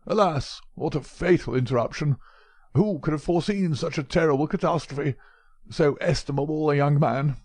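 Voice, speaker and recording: A man's voice: a little high, normal speed, darkish tone, dry recording, pitched above the performer's own voice, lightly acted. A man voicing a frail, fussy old male professor who speaks in a precise, mincing way. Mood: fussy, lamenting.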